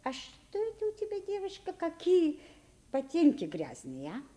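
An actress playing an affectionate but exacting French governess in a radio play, theatrical and expressive, gently scolding. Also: female voice, extremely high pitch, moderate pace, full character voice. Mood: scolding, brisk. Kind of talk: Soviet radio play acting.

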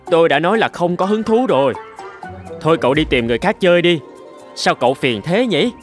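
An irritated father rooster from an animated fable, voiced by an actor. He scolds briskly and dismissively, with lively intonation. Music runs underneath. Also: mid-range voice, close to an everyday voice. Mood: annoyed. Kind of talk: Vietnamese animated fable dialogue.

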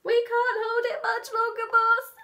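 A woman voicing a squeaky little henchman mouse from a storybook, dutiful, cheeky and shrill. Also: monotone, unhurried, bright, forceful tone, heavily acted. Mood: straining.

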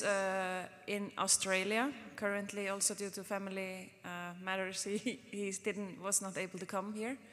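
A woman from Iceland, chair of the board, opening a public meeting in a relaxed, chatty way with many fillers. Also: medium pitch. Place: conference hall stage. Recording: long hall reverb.

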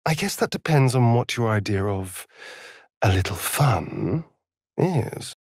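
An actor playing a sardonic, flirtatious vampire rogue in a fantasy video game, with an arch, theatrical, drawling delivery and sly asides. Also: male voice, medium pitch, expressive, slow, darkish tone, husky, no room sound, clean studio sound, full character voice. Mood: arch, amused. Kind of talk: video game character voice acting.

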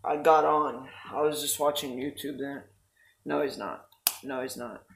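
A man's voice: mid-range voice, fairly even intonation, measured pace, vocal fry, echoey room, a little hiss or hum. A teenage boy in casual voice chat while gaming, bossy and trash-talking. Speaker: gamer.

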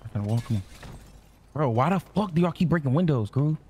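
A man's voice: a little high, lively intonation, fairly quick, darkish tone, breathy edge. An American man in casual gaming banter, talking urgently and insistently in profane slang. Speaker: role-play gamer.

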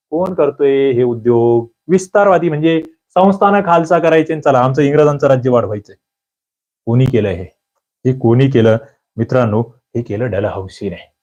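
A man teaching an exam prep lecture, quizzing listeners with lively rhetorical questions. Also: mid-range voice. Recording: dull lo-fi audio.

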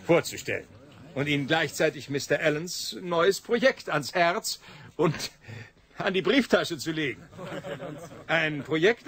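Radio drama: courteous, effusive fixer, very animated delivery, raised pitch, flowery and eager. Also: male voice. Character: genial, eager man, go-between among financiers. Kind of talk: German radio drama acting, 1980s.

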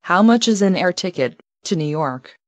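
A woman's voice: lowish voice, expressive, moderate pace, no room sound. Woman reading English phrases, dry sound. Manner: careful, evenly paced, each phrase read alone. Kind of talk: slow clear phrase repetition for learners.

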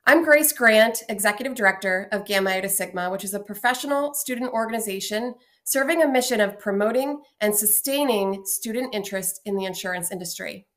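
A woman, an executive director, giving a measured, scripted welcome address in a recorded video. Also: medium pitch, fairly bright tone. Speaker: executive director, opening speaker. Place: indoor room.

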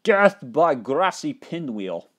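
Young male game host, dry-mouthed and tiring voice, lively swings from muttering to loud outbursts. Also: a little high, slow pace. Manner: animated, thinking aloud, jumps into loud excited outbursts. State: dry mouth, voice getting tired. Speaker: gaming channel host. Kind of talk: casual let's play commentary.

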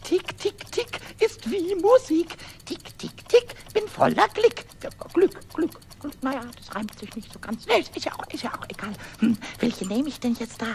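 A woman playing a small, childlike, mischievous kobold in a children's radio play, squeaky, excitable and stammering as it chatters to itself. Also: normal speed, vocal fry, hardly any pauses, a little hiss or hum. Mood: delighted. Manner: stammering, repeating words, muttering to itself.

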